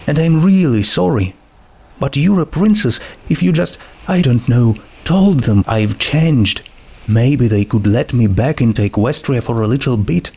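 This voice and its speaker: A man's voice: mid-range voice, a little mellow, breathy edge, voice pushed up. A man voicing an earnest, homesick teenage girl who pleads hesitantly and wistfully in an amateur story reading. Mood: pleading.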